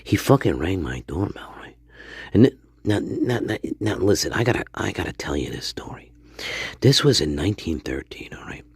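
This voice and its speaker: A man voicing a crude, foul-mouthed storyteller named Stanley, rambling and swearing, often dropping into a hoarse whisper. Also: low voice, sing-song, normal speed, darkish tone, no echo, studio quality, pitched below the performer's own voice, heavily acted. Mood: conspiratorial. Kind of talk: improvised character monologue.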